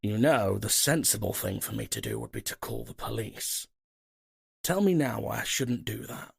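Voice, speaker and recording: An actor playing a guarded, gruff investigator in an audio drama, with a husky, gravelly voice and a dry, terse, commanding manner. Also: male voice, on the low side, wildly swooping pitch, moderate pace, no echo, exaggerated voice. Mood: wary, irritated. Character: guarded, gruff male paranormal investigator James Hunter.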